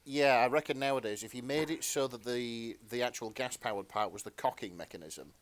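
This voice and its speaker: A man co-hosting a podcast in casual chat, explaining things in measured, slightly halting phrases. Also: medium pitch. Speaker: podcast co-host.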